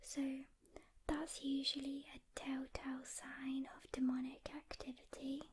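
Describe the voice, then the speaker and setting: A woman speaking in a hushed, gentle ASMR whisper, unhurried, playing a calm demon hunter who talks directly to the listener. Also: high-pitched. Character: calm experienced female demon hunter briefing a newcomer. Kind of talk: soft-spoken whispered ASMR roleplay.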